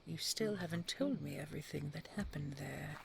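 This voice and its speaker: A woman playing a tender, regal dragon queen in an intimate audio roleplay, soft and breathy, often dropping to a whisper, with gentle, halting endearments. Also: low-pitched, a little hiss or hum. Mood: tender, earnest. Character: tender, regal female dragon queen consoling her beloved. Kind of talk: intimate audio roleplay, sleep-aid storytelling.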